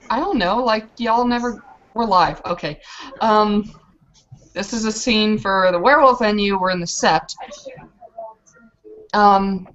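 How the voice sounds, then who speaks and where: A woman with a mid-range voice narrates a roleplay scene in a casual, halting way. She is informal and loose with her phrasing. The recording is a dull, lo-fi voice chat. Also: medium pitch.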